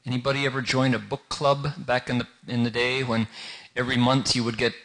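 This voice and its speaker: Bright-voiced male moderator, easygoing. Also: mid-range voice, flat delivery, brisk. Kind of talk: event moderation.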